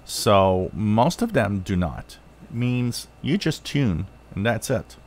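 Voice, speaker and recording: Low-voiced man explaining things off the cuff. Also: very animated. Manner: halting, thinking aloud in short phrases. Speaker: channel host reviewing radios. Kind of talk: unscripted explanatory talk.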